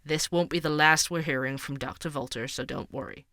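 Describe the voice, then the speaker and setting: A man's voice: a little high, fairly quick, vocal fry. A man playing a candid, wry investigative podcast host in an audio drama, speaking conversationally. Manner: conversational, wry, hesitant asides. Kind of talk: fictional podcast narration and dialogue.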